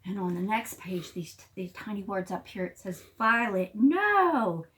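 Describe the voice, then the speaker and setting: A woman reading a picture book aloud to young children as a teacher, expressive and pausing to explain things. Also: fairly low pitch. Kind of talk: animated read-aloud storytelling.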